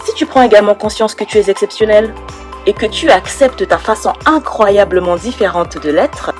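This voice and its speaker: A woman reading a scripted promotional ad in a smooth, even, persuasive manner. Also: mid-range voice, normal speed, soft background music. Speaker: ad voice-over.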